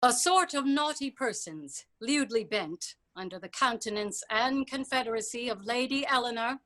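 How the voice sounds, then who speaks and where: A woman playing a stern, imperious royal judge, declaiming formal, measured and accusatory verse in a staged play reading. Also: a little high, fairly bright tone, close to an everyday voice. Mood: stern, condemning.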